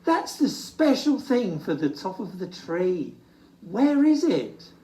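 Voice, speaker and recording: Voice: mid-range voice, sing-song, slow pace, dark tone, pitched above the performer's own voice. A storybook reader voicing a small, eager and anxious young male toy bear, earnest and exclaiming. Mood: excited. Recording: echoey room, distant from mic.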